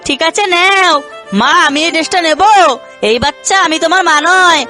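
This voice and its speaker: A woman's voice: very high-pitched, moderate pace, music in the background. A woman voicing an earnest, youthful character in a children's cartoon.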